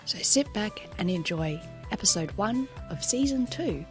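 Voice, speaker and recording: A woman's voice: medium pitch, lively intonation, a little slow. Aussie woman doing the podcast intro, bouncy voice, bit of music behind. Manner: upbeat, promotional read. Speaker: podcast announcer. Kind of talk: scripted show intro. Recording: voice-over with music bed.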